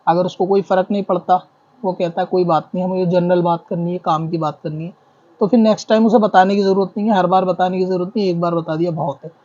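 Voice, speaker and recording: A high-voiced man gives religious guidance like a teacher. His intonation stays very level as he reasons point by point and restates things. The recording is dull and lo-fi. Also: fairly even intonation, fast talker, mellow. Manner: didactic, reasoning step by step with repetition. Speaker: Islamic scholar answering questions. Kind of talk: explanatory religious ruling in question-and-answer form. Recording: dull lo-fi audio.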